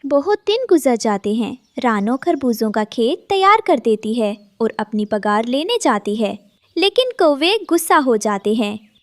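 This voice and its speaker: A woman reads a scripted children's tale as narrator. The voice is very high and highly animated, in a dry, close recording. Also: a little slow, dry recording.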